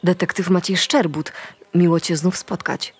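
A composed female forensic investigator in a crime radio play. Her voice is on the low side, and she speaks in a polite, matter-of-fact way with consideration. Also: steady tone, normal speed, slightly rough. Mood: cordial. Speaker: actress playing a forensic investigator. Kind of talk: audio drama acting.

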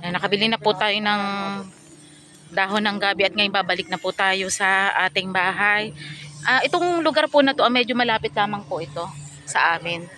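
A middle-aged woman chats her way through a walking vlog outdoors, polite and a little rambling with lots of fillers. Her voice is bright and forward. It is picked up by a phone held out on a selfie stick in an open field. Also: medium pitch, normal speed, slight background noise. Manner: polite, chatty, frequent fillers. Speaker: vlogger. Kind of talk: casual walking vlog narration.

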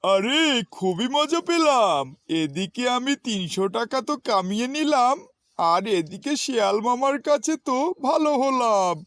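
A man voicing a sly, flattering, scheming crow in a children's cartoon story, with a crafty, wheedling manner. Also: very high-pitched, unhurried, no echo, faint music behind, exaggerated voice. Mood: gloating.

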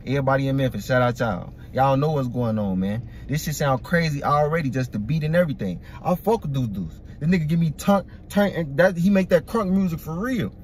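A young man hosting a music reaction video from a parked car, talking excitedly in profane slang into a phone held at arm's length. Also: fairly high pitch, fairly quick, hardly any pauses, slight background noise. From Iraq. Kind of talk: casual reaction commentary. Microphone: phone camera at arm's length.

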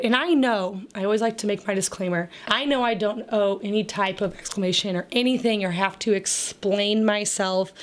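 Raspy-voiced woman chatting casually. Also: medium pitch. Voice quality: raspy, crackly voice. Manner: chatty, rambling, with frequent fillers and laughs. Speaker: homemaking vlogger. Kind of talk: casual voice-over chat.

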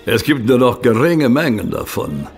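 An actor playing a sly, secretive old Egyptian sheikh and antiquities dealer in an audio drama. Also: male voice, medium pitch, sing-song. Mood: mysterious, proud. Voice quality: gravelly, creaky. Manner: slow, theatrical, secretive. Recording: music under the voice.

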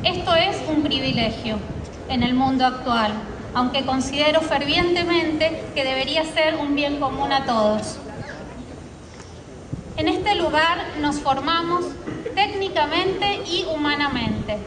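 Argentine woman giving an outdoor speech, high voice. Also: noisy background. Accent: Argentine Spanish. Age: early 40s. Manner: measured, reflective, read from notes. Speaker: alumni speaker. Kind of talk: formal commemorative speech read aloud. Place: outdoor school courtyard ceremony. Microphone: podium microphone filmed from a distant camera in the crowd. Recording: far-off echoey outdoor PA with crowd.

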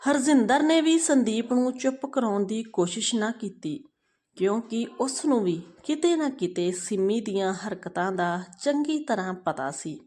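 A woman's voice: fairly high pitch, lively intonation, normal speed, a little mellow. A woman narrating a moral family story as a storyteller.

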